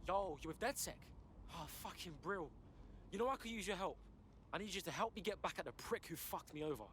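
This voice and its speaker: An actor playing a brash, streetwise young London car thief in a video game, talking cocky street slang. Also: male voice, high-pitched, animated, brisk, a bit bright, a little hiss or hum. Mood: excited, pleading. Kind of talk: video game cutscene acting.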